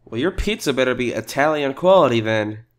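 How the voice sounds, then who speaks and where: A man playing a blunt, skeptical, excitable pizza customer in comic roleplay, with an incredulous and theatrical delivery. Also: medium pitch, brisk, lightly acted.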